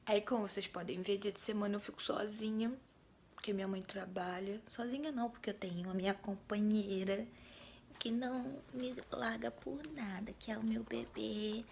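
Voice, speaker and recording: Woman in her twenties, Brazilian Portuguese accent, hoarse from a cold, gravelly fry, breathy half-whisper, relaxed casual vlog chat. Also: medium pitch, a little hiss or hum. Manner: relaxed, chatty, drawn-out words. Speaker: vlogger. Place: small bedroom indoors. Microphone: phone or webcam at arm's length.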